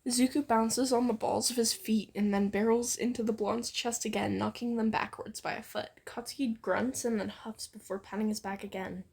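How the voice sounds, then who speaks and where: A woman's voice: on the high side, fairly even intonation, normal speed, creaky, hardly any pauses, darkish tone, slightly rough. A young woman reading fan fiction aloud informally, with playful, slangy, teasing banter. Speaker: amateur fan fiction reader.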